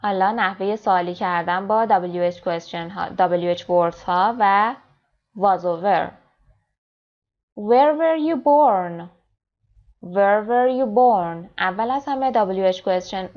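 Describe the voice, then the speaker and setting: Female grammar teacher, brisk explanations, slow careful example reading, slightly muffled lo-fi audio. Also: medium pitch. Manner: explains quickly in Persian, then reads English examples slowly and clearly. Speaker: English teacher. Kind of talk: grammar lesson.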